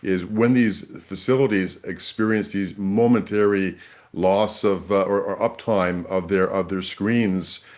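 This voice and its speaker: A man with a fairly low voice gives analysis as an expert guest on a news program. He explains in a conversational way and repeats words for emphasis.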